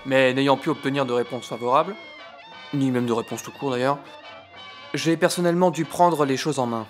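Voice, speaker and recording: An actor playing a pompous, easily exasperated French army captain of the First World War in a comic audio drama, speaking in a theatrical, declamatory way. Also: male voice, medium pitch, normal speed, faint music behind, close to an everyday voice. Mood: self-important, scornful.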